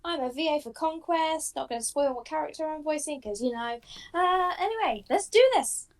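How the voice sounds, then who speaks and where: A woman's voice: high-pitched, animated, fairly quick. A woman, chatting casually in a hyper way. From Britain. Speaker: amateur voice actor, reaction panelist. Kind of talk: casual group chat.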